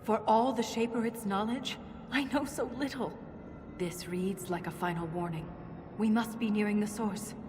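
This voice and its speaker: An actress playing an earnest, driven dwarf woman scholar in a video game, solemn and grieving, with measured, formal phrasing. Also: mid-range voice, close to an everyday voice. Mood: solemn, regretful. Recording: loud music behind. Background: loud game music.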